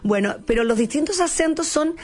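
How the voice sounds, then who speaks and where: A woman's voice: high-pitched, lively intonation, brisk, darkish tone. A Chilean woman hosting a TV talk show, with a fairly neutral Chilean accent, gushing and effusive, often repeating her words. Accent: neutral Chilean Spanish. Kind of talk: enthusiastic TV interview.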